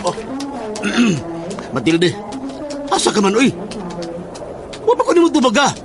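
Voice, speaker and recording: A man's voice: sits high, sing-song, slow, crowd noise, full character voice. A man playing an anxious, urgently questioning man in a horror audio drama. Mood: anxious, demanding.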